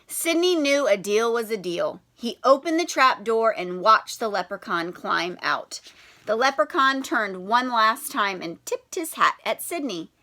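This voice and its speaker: A woman's voice: a little high, lively intonation, measured pace, bright, forceful tone. A woman who teaches primary school, reading aloud and talking directly to children with prompting questions.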